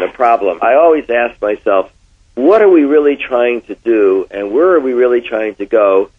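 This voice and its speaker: A man's voice: fairly low pitch, husky. An older man from Connecticut with an American accent, reminiscing in an interview and telling anecdotes with emphatic repetition. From Connecticut, United States. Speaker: interviewee, former oil executive. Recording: thin, phone-like band-limited sound.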